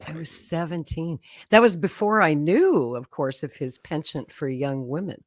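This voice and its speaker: A woman's voice: low voice, moderate pace, no room sound. A woman, a guest telling her political life story in a chatty way, laughing often and acting out quotes. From Oklahoma, USA. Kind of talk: conversational storytelling.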